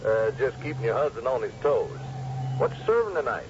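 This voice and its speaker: An actor playing a jovial, sly ranch boss in a radio drama, with a folksy, mock-courtly way of talking. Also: male voice, mid-range voice, sing-song, a little fast, background noise, lightly acted. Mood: sly, teasing. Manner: drawling, chuckling, mock-courtly. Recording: dull old radio broadcast, music behind.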